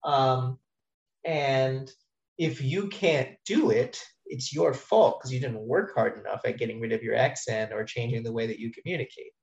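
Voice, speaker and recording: A man's voice: medium pitch, normal speed, vocal fry. A man in casual conversation, thinking aloud in a rambling way with many fillers and restarts. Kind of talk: casual discussion of language teaching. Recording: roomy, dull-sounding audio.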